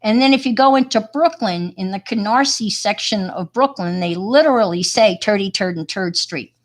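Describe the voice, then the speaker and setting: A woman's voice: medium pitch, normal speed. A retired woman teacher with a New York accent, chatting casually while showing her artwork, rambling and poking fun at herself. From Long Island, New York. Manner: rambling, self-deprecating, chatty with fillers. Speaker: retired teacher and hobby artist. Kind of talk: casual show-and-tell chat.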